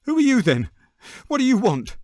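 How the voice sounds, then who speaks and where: A man's voice: very high voice, wildly swooping pitch, normal speed, darkish tone, no echo, studio quality, pitched above the performer's own voice, exaggerated voice. A man voicing a frightened, cringing little man in a storybook reading, with a thin, quavering, whining voice. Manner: nervous, whining.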